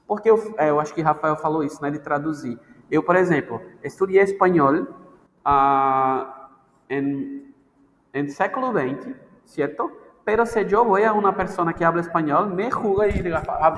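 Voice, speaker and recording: A man's voice: high voice, a bit bright. A man, a language teacher from Brazil, chatting casually and animatedly on a podcast, playfully acting out quoted voices. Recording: long reverb, faint background noise.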